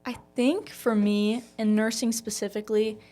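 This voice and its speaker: A woman's voice: on the high side, little pitch movement, moderate pace, mellow. A young woman from Texas chatting casually as a student guest, often dropping "like" into her talk. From Texas, United States. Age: young adult. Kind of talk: casual chat.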